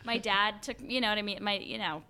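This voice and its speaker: A young American woman, an actor and singer, chatting as a podcast guest with lots of fillers and animated quotes. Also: mid-range voice, fairly quick, a little hiss or hum. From United States. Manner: chatty, frequent "like" and "you know" fillers, animated quoting of others. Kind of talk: casual conversational interview.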